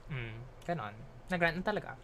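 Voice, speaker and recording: Filipino woman streamer, casual rambling chat, lowish bright voice, faint hiss on the mic. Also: lively intonation, fairly quick. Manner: chatty, ranting, mixing English with Tagalog. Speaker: livestreamer.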